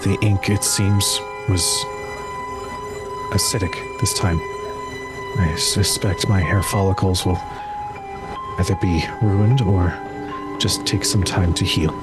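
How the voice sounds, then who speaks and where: Man playing a slow, grave occult scholar. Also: sits low, huge pitch swings, exaggerated voice. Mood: grave, detached. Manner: measured, halting, formal diction. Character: stoic, formal male occult scholar, unbothered and grave. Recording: loud music behind the voice.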